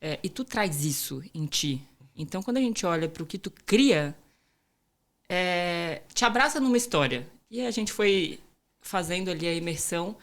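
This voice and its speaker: A woman's voice: on the low side, lively intonation, normal speed. Upbeat chatty woman hosting podcast. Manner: upbeat, chatty, uses informal "tu". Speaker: podcast host. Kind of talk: casual interview hosting.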